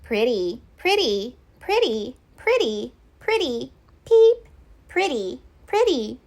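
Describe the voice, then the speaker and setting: A woman's voice: very animated, takes it slowly, darkish tone, exaggerated voice. A woman voicing tiny, cute, playful puff creatures in a picture book, chirping single words over and over. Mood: admiring. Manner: squeaky, repetitive chirping.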